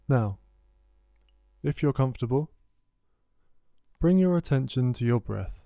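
A man guides a meditation in a very dark, mellow voice with a smooth tone. His delivery is soothing and unhurried, leaving gentle pauses between instructions. The sound is close and dry. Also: mid-range voice, no echo. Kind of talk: guided body scan meditation.

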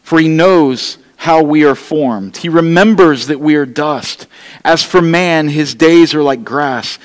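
A man's voice: a little high, takes it slowly. A middle-aged man preaching a Sunday sermon from a church stage, earnest and pleading, talking straight to his congregation. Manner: walks the stage holding an open Bible. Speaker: pastor. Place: church stage, large room indoors. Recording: long reverb of a church hall.

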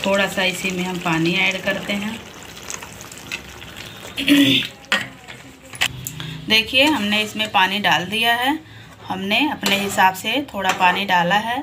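A woman talks a home cook through a recipe, calmly walking through each step. Her delivery is slow and patient, and she keeps drawing attention to what is in the pan. Loud sizzling from the pan sits under every word. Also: medium pitch. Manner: patient, repeats "dekhiye" to point things out. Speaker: home cooking channel host. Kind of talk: step-by-step cooking demonstration voice-over. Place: home kitchen over a frying pan. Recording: loud frying noise under the voice. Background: loud sizzling and stirring of a pan.

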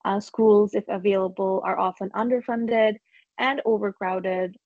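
A woman's voice: medium pitch, steady tone, a little slow, darkish tone, dry recording. A young woman from Nepal giving a prepared youth talk on a video call, reading steadily from notes. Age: young adult. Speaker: youth speaker. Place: room at home, indoors. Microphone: laptop webcam mic on video call. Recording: dull, dry video call audio.

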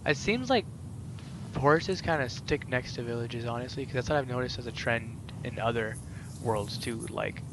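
A man's voice: medium pitch, moderate pace, noisy background. A young man hosting a Minecraft let's play, chatting casually with friends over a voice call in a rambling, filler-heavy way. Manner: rambling, filler-heavy, animated gamer talk.

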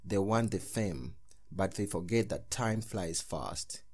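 A man playing a threatening demon who collects souls, speaking in a slow, ominous way. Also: sits low, animated, a little mellow, heavily acted. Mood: menacing.

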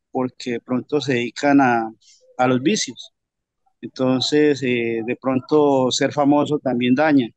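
A man giving a student's opinion in an online class, rambling and halting, often repeating words. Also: mid-range voice, dry recording. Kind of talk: class discussion comment. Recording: dry muffled video call audio.

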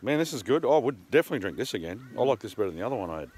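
A man's voice: medium pitch, very animated, rapid-fire, a little mellow, breathy edge. A middle-aged Australian man chatting heartily with mates outdoors, swearing and rating beers, sometimes in a mock-ad voice. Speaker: pub crawl channel co-host. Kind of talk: casual beer review chat. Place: outdoors in dry bushland.

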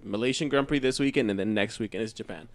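Filler-heavy podcast co-host from Colombia. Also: male voice, on the low side, quick pace. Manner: filler-heavy, swears casually. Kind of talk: casual chat.

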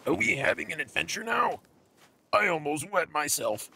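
A man voicing a small, naive, childlike monster cub with a gravelly voice in a comic dub. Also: fairly high pitch, lively intonation, normal speed, pushed and bright, slight background noise, heavily acted. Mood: innocent. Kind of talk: comic book dub acting.

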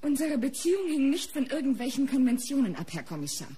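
A woman's voice: high voice, very animated, fast, dark tone. An actress in a German radio crime drama playing a guarded, devoted young woman who models for a painter. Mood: guarded, pained.

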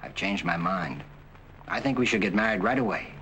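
An actor playing a young doctor pressing his fiancée in a 1940s film, coaxing and brisk. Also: deep voice, expressive, bright, forceful tone, poor audio. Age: young adult. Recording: faint hiss on old film soundtrack.

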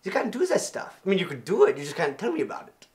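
A performer playing an excitable, petulant, childishly eager German military leader in a comedy sketch, repeating words in an animated way. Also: mid-range voice, quick pace. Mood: uneasy, hedging. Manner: animated, repeats words, swings from squeals to muttering.

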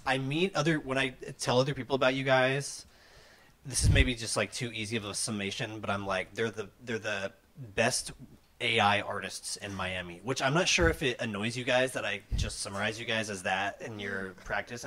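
A man hosting a relaxed interview in a living room, talking loosely with many fillers into a handheld mic. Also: mid-range voice. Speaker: host. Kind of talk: casual interview chat.

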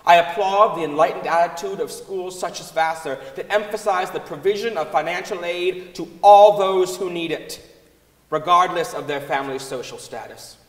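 Young male reader for staged dramatic reading, higher pitch, reverberant concert hall. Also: normal speed.

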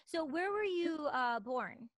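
A woman's voice: sits high, lively intonation, slow, no room sound. A woman in her thirties chatting casually as a podcast host, in an informal, discursive way, into a close studio mic. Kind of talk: casual interview chat. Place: small home studio. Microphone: studio mic on boom arm, close.

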